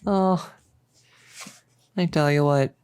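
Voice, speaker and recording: A woman's voice: sits low, takes it slowly, a little mellow, clean tone, no room sound, studio quality. A young woman playing a bossy, giddy, possessive cultist in an intimate roleplay audio, rambling in a casual, smug, playful way. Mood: gleeful, possessive. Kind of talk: intimate roleplay audio, improvised-sounding monologue.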